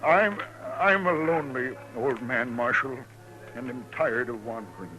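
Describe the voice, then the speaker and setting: A man's voice: mid-range voice, unhurried, over music, natural voice, low-quality recording. A man playing a lonely, fiery old medicine-show professor with florid, formal oratory in a radio western. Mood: courteous, pleading.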